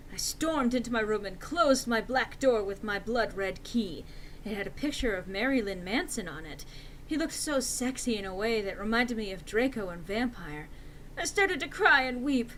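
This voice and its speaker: A woman's voice: on the high side, a bit bright, a little hiss or hum, low-quality recording. A woman narrating a fan fiction story as a theatrical, mock-serious dramatic reading.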